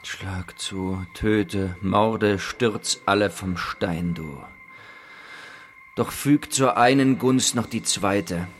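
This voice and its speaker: Very slow, low despairing old man. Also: slight background noise. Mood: desperate, resigned. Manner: hushed, halting, grave. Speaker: actor. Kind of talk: theatrical radio play tragedy. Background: music.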